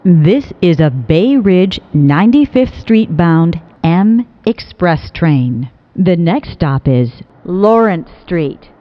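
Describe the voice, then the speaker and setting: Slow, lilting woman announcing subway stops. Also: fairly low pitch, expressive. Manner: measured, clearly enunciated, pieced-together phrases. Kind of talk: scripted automated transit announcements. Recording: dull lo-fi recording.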